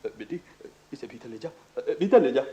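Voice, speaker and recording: Animated, excitable older gentleman. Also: medium pitch, normal speed, highs cut off. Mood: flustered, fussy. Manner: theatrical, repetitive, stammering. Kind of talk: Hindi radio play acting.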